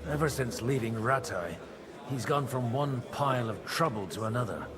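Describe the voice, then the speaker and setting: An actor playing a shrewd, worldly priest in a medieval video game, wry and persuasive in manner. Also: male voice, medium pitch, animated, normal speed, a bit bright, close to an everyday voice. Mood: wry, gossipy. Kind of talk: dramatic video game voice acting.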